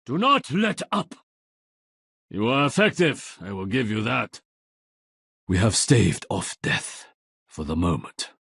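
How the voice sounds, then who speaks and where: Solemn warrior guy from a game, raspy, close dry sound, formal and stern. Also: on the low side, big pitch swings, slow, long pauses, a bit bright, no room sound, heavily acted. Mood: stern, commanding. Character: stern, honourable male warrior in a gothic tale. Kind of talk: video game character voice acting.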